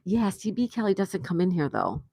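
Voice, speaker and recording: A woman's voice: on the low side, very animated, moderate pace, vocal fry, warm tone, husky, dry recording, clean studio sound. Chatty older woman hosting a live chat. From New York. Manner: chatty, reads chat messages aloud, laughs often. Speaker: livestream host. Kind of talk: casual live chat reading comments. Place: outdoor patio.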